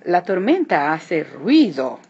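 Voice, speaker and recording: Lady reading a kids book out loud real slow, very animated, kinda muffled recording w some noise. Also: fairly low pitch. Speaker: read-aloud narrator. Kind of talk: children's picture book read-aloud. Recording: dull lo-fi recording, clear background noise.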